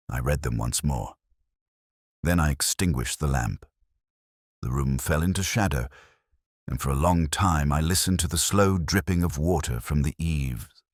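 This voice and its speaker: A man playing a brooding, melancholy older police detective, narrating a literary drama in the first person in a measured, reflective, formal way. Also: extremely low pitch, no room sound, clean studio sound, full character voice. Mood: melancholy, pensive.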